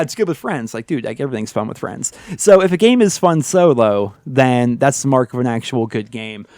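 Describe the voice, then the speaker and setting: A man's voice: mid-range voice, darkish tone, no echo, studio quality. A man with a head cold and a stuffy nose, hosting a casual gaming chat where he answers viewer questions with blunt, opinionated takes. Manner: candid, opinionated, frequent "like" and "man". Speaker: gaming YouTuber host.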